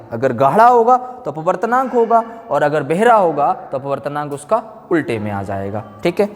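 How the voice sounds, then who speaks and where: A man teaching an exam-coaching class informally, asking questions and answering them himself, repeating key phrases and prompting his students. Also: a little high. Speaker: physics teacher. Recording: echoey hall-like room reverb.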